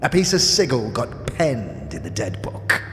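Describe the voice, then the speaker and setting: Sly old crime boss, fantasy audio drama, slow taunting delivery that swoops around and sinks to whispers, music behind. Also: male voice, medium pitch, huge pitch swings, fairly bright tone. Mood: sly storytelling. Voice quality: creaky, often dropping into breathy whispers. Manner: theatrical, taunting, drawn-out phrases with street slang. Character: withered, sly, contemptuous old male underworld boss. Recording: long hall reverb, music behind.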